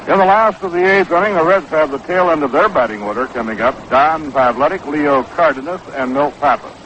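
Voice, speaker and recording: A man's voice: fairly high pitch, brisk, fairly bright tone, highs cut off, slight background noise. An American man calling baseball play-by-play in the style of a 1960s live radio broadcast. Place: outdoor ballpark broadcast booth.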